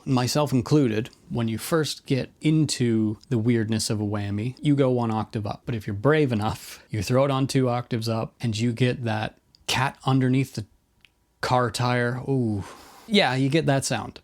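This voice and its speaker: A man's voice: medium pitch, fairly even intonation, normal speed, a little mellow. A man hosting a guitar gear video, talking through things in a casual, informal explainer style with opinionated, wryly self-deprecating asides. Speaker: host of a guitar effects video.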